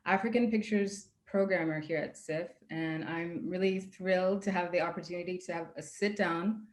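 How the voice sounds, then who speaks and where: A woman with a slightly low voice hosts a festival Q&A over a video call, her sound bouncing in a roomy space. She asks friendly, curious questions and keeps the talk moving. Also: steady tone, normal speed. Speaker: festival programmer and moderator. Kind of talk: hosting a recorded Q&A.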